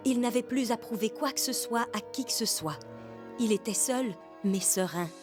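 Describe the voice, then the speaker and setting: A woman's voice: fairly high pitch, moderate pace. A woman giving scripted storybook narration as the narrator of a children's cartoon. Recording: music behind the voice.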